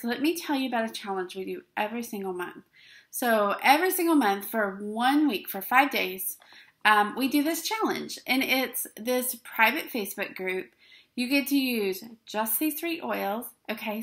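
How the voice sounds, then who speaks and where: Upbeat middle-aged woman, slightly high-pitched, casual chatty vlog delivery. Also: fairly bright tone. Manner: bubbly, chatty, many fillers and "okay?" check-ins. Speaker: essential oils vlogger. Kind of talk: casual talk to camera. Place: small dim indoor room.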